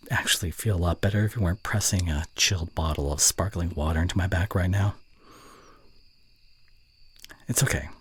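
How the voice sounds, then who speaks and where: College-age male romantic lead for ASMR roleplay, acted by a man: very low, very husky voice, soft and hesitant, fondly teasing. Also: full character voice. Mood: playful, tender. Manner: soft, hesitant, tender delivery with whispered asides. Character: young male college voice actor, fond, teasing, reserved romantic. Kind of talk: intimate ASMR roleplay audio acting.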